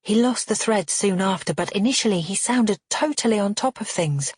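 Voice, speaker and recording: An earnest, determined heroine of an audiobook novel, acted by a woman narrator. She sounds defensive and pleading, her voice a little husky and recorded dry. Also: medium pitch, expressive, normal speed, a little mellow, no echo, pitched above the performer's own voice.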